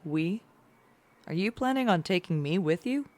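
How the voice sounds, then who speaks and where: A woman's voice: fairly low pitch, lively intonation, normal speed, darkish tone, lightly acted. A woman playing a wry, caring young superheroine in an audio drama. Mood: wry concern.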